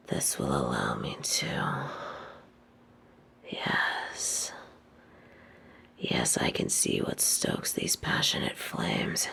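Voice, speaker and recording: A woman's voice: extremely low pitch, creaky, husky, full character voice. A woman playing an evil, possessive dictator queen, cold, cruel and seductive, taunting in formal, imperious words in an audio roleplay. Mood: menacing, seductive. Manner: taunting, imperious, formal wording, lingering delivery. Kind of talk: scripted audio roleplay monologue.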